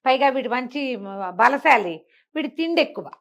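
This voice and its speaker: Female oral storyteller, higher-pitched, conversational and explanatory, throws in rhetorical questions. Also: lively intonation, normal speed, gravelly, a bit bright. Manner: explanatory, asks rhetorical questions as she narrates.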